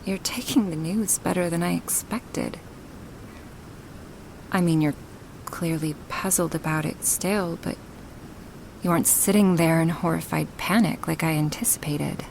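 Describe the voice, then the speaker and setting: A woman voicing a gentle, affectionate dragon woman in an intimate ASMR roleplay, breathy and hesitant, often slipping into whispers. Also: lowish voice, a little hiss or hum, poor audio. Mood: hesitant, gently frank. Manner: slow, hesitant, with sighs and pauses. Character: gentle dragon woman, awkward, affectionate toward her human. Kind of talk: ASMR roleplay, spoken softly and intimately to the listener.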